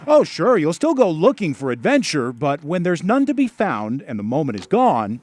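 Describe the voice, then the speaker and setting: A man's voice: a little high, wildly swooping pitch, normal speed, a little mellow, a little hiss or hum. A man reading scripted narration for a human-interest television feature in a folksy, storytelling manner. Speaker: feature reporter.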